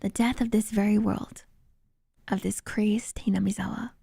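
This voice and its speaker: A woman voicing a traumatized, bitter teenage boy narrating a horror visual novel in a breathy, halting, haunted way. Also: mid-range voice, level tone, mellow, no room sound, clean studio sound. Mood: bitter, resigned. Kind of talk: dramatic visual novel readalong.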